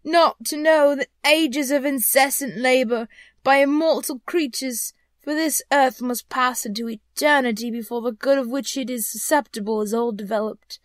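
A woman plays a dead businessman's ghost from a storybook, tormented and full of remorse. The voice is pitched high and closely recorded, with a dry sound. It wails and declaims slowly, stretching out each phrase. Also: dry recording, natural voice. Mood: anguished. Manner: wailing, slow, drawn-out declamation. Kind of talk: dramatic storybook character reading.

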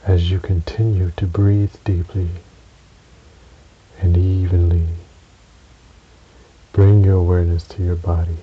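Very deep, slow male meditation voice. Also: level tone. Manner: soothing, measured, with long pauses between short phrases. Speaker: guided meditation narrator. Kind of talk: guided relaxation meditation. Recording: dull recording with audible hiss.

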